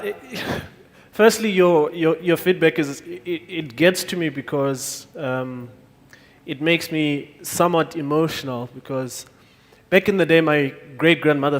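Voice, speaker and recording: Heartfelt man, higher voice, in a hall. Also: measured pace. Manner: heartfelt, searching, repeats words. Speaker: panelist. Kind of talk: panel discussion answer. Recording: reverberant hall.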